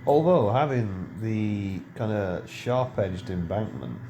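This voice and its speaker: A man's voice: fairly low pitch, lively intonation, takes it slowly, mellow, a little hiss or hum. A middle-aged man giving casual, rambling gameplay commentary, full of ums and self-corrections. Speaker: game video creator.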